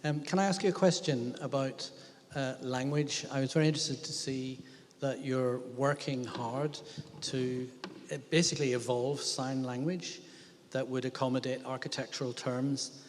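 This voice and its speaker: A man's voice: mid-range voice, fairly even intonation, normal speed, a little hiss or hum. Polite male moderator in an echoing hall. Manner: polite, thoughtful, hesitant with many "uh"s. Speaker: panel moderator. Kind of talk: moderating a panel discussion. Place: lecture hall at a museum event. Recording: reverberant lecture hall.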